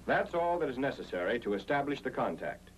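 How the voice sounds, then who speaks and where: A man's voice: mid-range voice, sing-song, brisk, a little hiss or hum, poor audio. An American man reading a scripted 1940s instructional film narration in an emphatic, explanatory way, on an old film soundtrack.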